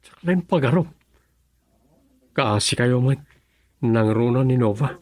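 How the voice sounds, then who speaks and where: A man's voice: mid-range voice, lively intonation, a little slow, close to an everyday voice. An actor playing a worried, earnest young man in a radio drama, with a questioning, concerned manner. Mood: worried, shocked.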